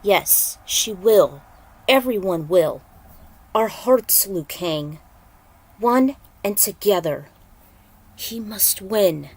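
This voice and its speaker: A woman's voice: medium pitch, lively intonation, drawn-out words, pauses often, breathy edge, exaggerated voice. A woman voicing a stern male thunder god mentor who speaks in a grave, portentous way. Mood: solemn, resolute.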